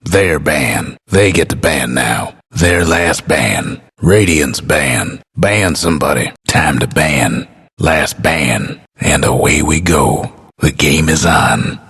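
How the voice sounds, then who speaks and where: Game announcer: folksy old-West storyteller, rock-bottom pitch, heavy husk, laconic and dryly wry. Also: male voice, expressive, takes it slowly, a bit bright, heavily acted. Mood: dry, matter-of-fact, then steady anticipation. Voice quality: husky, gravelly. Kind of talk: scripted game announcer lines, drawling western narration.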